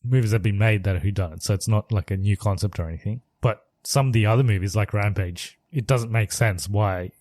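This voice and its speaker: A man co-hosting a podcast in casual chat, relaxed and often laughing as he steers the talk. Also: fairly low pitch, brisk, hardly any pauses, dry recording. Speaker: podcast co-host.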